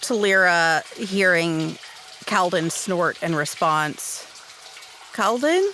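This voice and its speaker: A woman's voice: lowish voice, slow, a bit bright, a little hiss or hum, low-quality recording. A woman improvising story narration as a player in a tabletop roleplay game.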